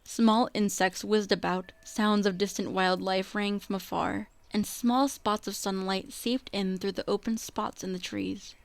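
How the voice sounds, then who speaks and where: A woman's voice: mid-range voice, fairly even intonation, unhurried, vocal fry, hardly any pauses, dry recording. A woman reading scripted story narration for a radio drama. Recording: music behind the voice.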